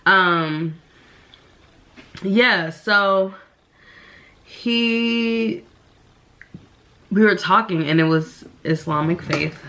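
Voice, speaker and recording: A woman's voice: medium pitch, very slow, pauses often, sharp tone. A young woman, a bit nasal from the flu, chatting in a casual get-ready-with-me vlog at home and rambling with lots of fillers. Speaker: beauty vlogger. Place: indoors at home, getting ready.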